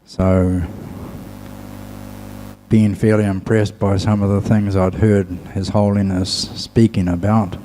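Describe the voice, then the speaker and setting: A man with a low, mellow voice gives a dhamma talk as a Buddhist teacher. He speaks very slowly and haltingly, leaving long pauses between short phrases. A faint hum sits behind him. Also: little pitch movement, low-quality recording.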